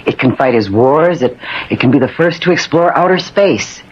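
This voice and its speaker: A man voicing a scientist's devoted, earnest daughter and assistant, husky, explaining things in a 1950s radio drama. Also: medium pitch, expressive, moderate pace. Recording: muffled 1950s radio recording.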